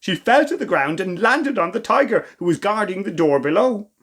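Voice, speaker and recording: A man reading a children's story in an expressive, theatrical way. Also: sits high, moderate pace.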